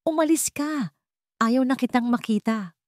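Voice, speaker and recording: A woman's voice: sits high, a little slow, a little mellow, no echo, voice pushed up. Casting: female narrator as anguished young mother, guilt-ridden and wounded, radio drama delivery, dry sound. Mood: angry, hurt. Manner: anguished, emotional. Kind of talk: Tagalog radio drama storytelling.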